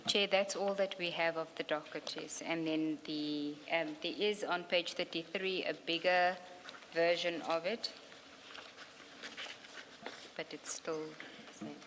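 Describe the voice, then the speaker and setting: Low-pitched, unhurried woman in an echoey hall. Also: steady tone, a bit bright. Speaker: evidence leader questioning a witness at a commission. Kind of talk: formal inquiry questioning. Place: large hearing room. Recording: echoey hall, clear background noise.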